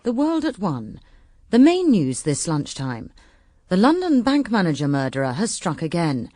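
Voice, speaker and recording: A woman's voice: lowish voice, wildly swooping pitch, normal speed, warm tone. An actress playing a composed female newsreader, formally reading bulletins in a satirical radio drama.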